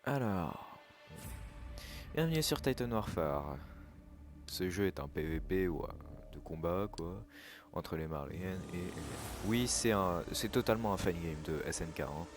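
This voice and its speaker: A man's voice: low-pitched, lively intonation, a little slow. A young man casually commenting while playing a video game, chatty, self-mocking and swearing freely. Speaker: gaming YouTuber. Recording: loud game music behind.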